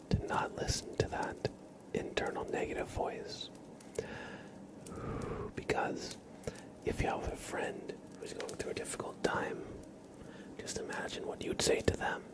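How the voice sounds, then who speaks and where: A man speaking in a breathy whisper, gently and reassuringly, in halting phrases as an ASMR streamer giving positive affirmations.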